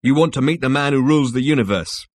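A man's voice: medium pitch, fast, no echo, pitched above the performer's own voice, natural voice. An earnest galactic conspirator, played by a male reader, explains things with emphatic insistence. The voice sits raised above a natural register, captured dry and close for an audiobook. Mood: urgent, grave. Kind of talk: audiobook character dialogue.

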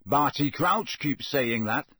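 A man's voice: mid-range voice, expressive, takes it slowly, pushed and bright, husky, no echo, full character voice. A careless, jovial wizard of middle age from a storybook, put on by a male reader. He talks in a breezy, chummy way, full of colloquial turns. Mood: comfortable, unworried.

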